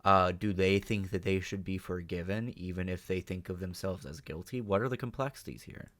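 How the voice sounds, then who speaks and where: A man's voice: deep voice, brisk, a bit bright. A man hosting a reaction video, speaking off the cuff in an emphatic, opinionated, rambling way. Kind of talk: unscripted reaction commentary.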